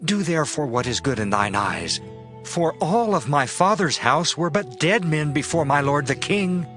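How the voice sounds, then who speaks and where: A loyal, humble prince, played by a male actor, addresses his king in a slightly high voice. He is deferential, speaking archaic scripture in a dramatized reading with music behind. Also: very animated, moderate pace, a bit bright. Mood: pleading. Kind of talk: dramatized Bible audiobook acting.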